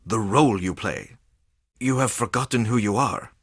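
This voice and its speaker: A man voicing a cold, domineering figure in a video game, speaking with deliberate disdain in a husky voice. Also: fairly low pitch, dry recording, clean studio sound. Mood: cold menace.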